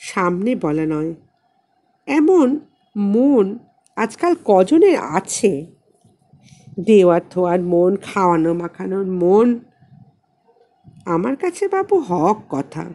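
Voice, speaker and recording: Casting: flattering village-gossip neighbour for audio fiction woman performer, soft-toned, unhurried, fawning and emphatic. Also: medium pitch.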